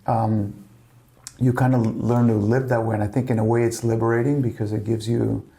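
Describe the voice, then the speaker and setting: A man's voice: mid-range voice, flat delivery, fairly quick, vocal fry, mellow. A middle-aged man from Spain with a slight Spanish accent, telling family stories in a rambling casual chat full of "you know". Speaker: family podcast guest.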